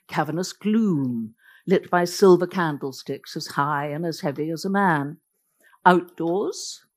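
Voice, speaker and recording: A woman whose first language is Norwegian, giving an illustrated lecture as a biographer, telling the story vividly with wry asides. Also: lowish voice.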